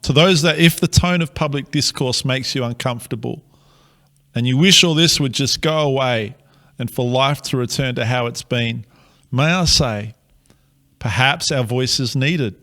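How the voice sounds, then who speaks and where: A man with an Australian accent, a pastor giving a slow, earnest, measured address to his city. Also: mid-range voice, fairly bright tone.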